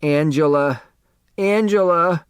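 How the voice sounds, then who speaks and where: An injured secretary, a proud woman who craves attention, performed by a male narrator. Her words come raised in pitch and drawn out in a pained moan, in storybook style. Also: clean tone, dry recording, studio quality. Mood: pleading moan. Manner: moaning, drawn-out.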